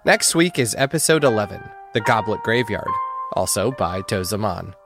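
A man's voice: fairly low pitch, animated, normal speed, loud music behind the voice. A man announcing podcast credits and recommendations in a scripted narration style.

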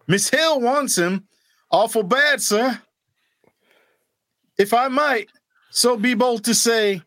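A man's voice: extremely high pitch, slow pace, sharp tone, no room sound, pitched above the performer's own voice, exaggerated voice. A man playing a timid, fawning servant who speaks hesitantly and with overdone politeness. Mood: pleading.

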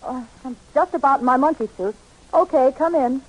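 A woman's voice: sits high, normal speed, a little mellow. An actress playing an insistent, spirited woman in 1940s radio style. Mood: exasperated. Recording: noisy old radio recording.

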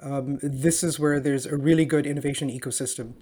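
A man with a fairly high voice, speaking as a guest on a podcast from a remote call. He explains at length, often pausing with fillers and starting his sentences over. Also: steady tone, a little mellow, husky. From Dubai. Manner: explanatory, many fillers and restarts. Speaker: guest, energy company co-founder. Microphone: calling in remotely.

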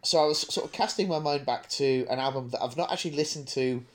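A man with a medium-pitched voice chats as a podcast co-host. He talks in a casual, discursive way, working out his thoughts as he goes and slipping in hesitant asides. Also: quick pace.

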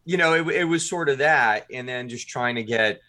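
A man's voice: medium pitch, moderate pace, sharp tone. A man talking as a guest in a podcast interview, explaining his production work in detail and acting out short examples.

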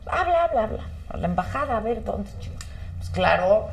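A woman's voice: a little high, sing-song, brisk, slight background noise. A Mexican woman in her fifties, the host, chatting frankly with slangy quips and telling a story of her own. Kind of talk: casual chat.

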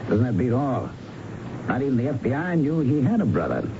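Dogged American investigator, noisy lo-fi radio. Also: male voice, mid-range voice, sing-song, a little fast. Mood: wry, pondering. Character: seasoned, dogged California patrol investigator, male. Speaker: actor playing lead investigator and narrator. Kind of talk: 1970s radio drama acting. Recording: dull lo-fi radio recording, loud noise.

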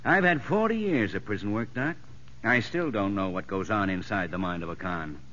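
An American man playing a gruff, sceptical older prison warden, blunt and wry, in 1950s radio drama style. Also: fairly low pitch, animated, moderate pace, a bit bright. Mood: gruff, probing. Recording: muffled, noisy old radio broadcast.

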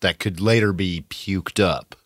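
A man's voice: low-pitched, unhurried, a bit bright, no room sound, clean studio sound. A man co-hosting a podcast in casual chat, dropping wry quips. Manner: wry, quipping. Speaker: podcast co-host.

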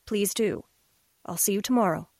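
A woman's voice: medium pitch, normal speed, a little mellow, dry recording, close to an everyday voice. A woman playing a stern but caring schoolteacher in an audio drama, admonishing and firm. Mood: stern, disappointed. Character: stern, caring female schoolteacher chiding a student.

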